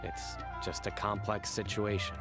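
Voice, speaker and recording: A man playing a stoic, reserved young lawman in a video game, terse and deadpan. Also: lowish voice, a little slow, gravelly, over music. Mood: reserved, firm. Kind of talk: English video game voice acting.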